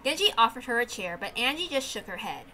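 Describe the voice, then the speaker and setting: An excitable, animated woman hosting a read-aloud series, moving between casual rambling and reading a story. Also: on the high side, fast, faint music behind, rough recording. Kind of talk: casual chat and visual novel read-aloud.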